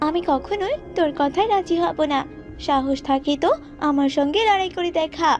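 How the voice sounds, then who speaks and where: A woman's voice: high-pitched, normal speed, darkish tone, faint music behind, lightly acted. A woman playing a young bird princess in a cartoon, dutiful but brave, earnest and forthright. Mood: defiant. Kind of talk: cartoon voice acting.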